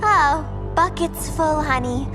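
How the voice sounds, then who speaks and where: Brash high-voiced clown girl. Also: slow. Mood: cheeky, defiant. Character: brash wisecracking young female clown antiheroine. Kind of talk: video game voice acting. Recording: music under the voice.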